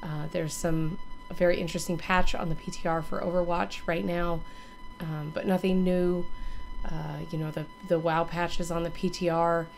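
A hoarse woman in her thirties, still recovering from illness, gives a rambling, casual channel update to a webcam from her home gaming room. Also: fairly low pitch, level tone, moderate pace, faint music behind. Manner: rambling, many ums and restarts. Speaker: gaming streamer and YouTuber.

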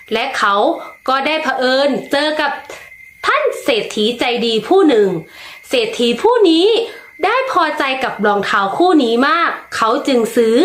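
A woman reading a children's story aloud, slow and lilting, putting expression into each line. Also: high-pitched, a bit bright, echoey room.

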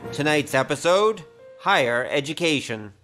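A man's voice: on the high side, expressive, moderate pace, a bit bright, soft background music. A man announcing the introduction to an audio adventure drama.

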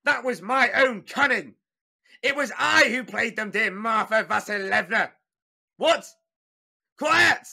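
An actor playing a pompous, blustering man posing as a tsar, imperious and excitable, in a dubbed film comedy. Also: sits high, measured pace, creaky, heavily acted. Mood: indignant, bossy.

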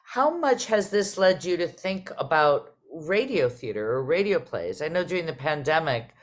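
Low-pitched woman hosting a conversation. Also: lively intonation, normal speed. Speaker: host, theatre artistic director. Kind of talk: conversational interviewing. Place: indoors on a video call.

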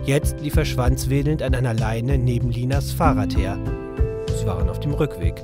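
A man's voice: mid-range voice, moderate pace, over loud music, lightly acted. A man narrating a children's adventure audio drama as a scripted storyteller. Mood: storytelling.

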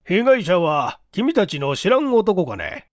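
A man voicing a gruff, skeptical middle-aged police inspector with blunt, old-fashioned speech in a mystery audiobook. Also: high-pitched, big pitch swings, measured pace, dry recording, studio quality, voice pushed up. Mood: businesslike. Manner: blunt, old-fashioned rustic turns of phrase. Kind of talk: dramatized mystery audiobook reading.

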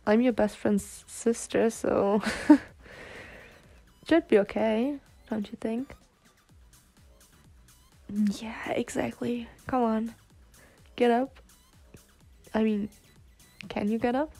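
Protective twin sister for ASMR roleplay, played by a woman: sweary, exasperated yet caring, unhurried, fairly high voice. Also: steady tone, gravelly, warm tone, faint music behind, heavily acted. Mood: tender, amused. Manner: sweary, sighing, half-muttering. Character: protective, exasperated, foul-mouthed twin sister, tender toward her girlfriend. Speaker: roleplay voice actor.

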